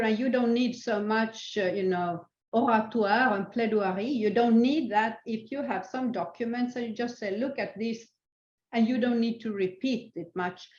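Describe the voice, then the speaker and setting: An older woman whose native language is Swedish, answering interview questions as a senior guest in a measured, halting, thoughtful way. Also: mid-range voice. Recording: roomy echo, dull lo-fi sound.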